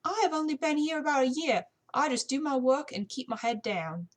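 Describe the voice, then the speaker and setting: A woman voicing a cheeky young housemaid with a sharp tongue and a put-on British accent in a period story. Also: high voice, a little fast, voice pushed up, lightly acted. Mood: defensive. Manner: cheeky, disrespectful.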